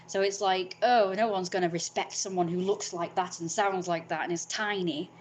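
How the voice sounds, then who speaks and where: A woman's voice: on the low side, fairly even intonation, normal speed, slight background noise. A young woman, talking in a frank, rambling vlog monologue and swearing freely.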